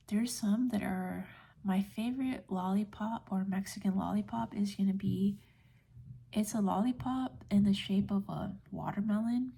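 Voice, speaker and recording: Woman, late twenties, American accent. Hushed, whispery ASMR delivery with creaky fry and a relaxed tone, gentle and informal. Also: medium pitch. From United States. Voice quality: soft, whispery, breathy. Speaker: ASMR video creator. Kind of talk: soft-spoken ASMR rambling.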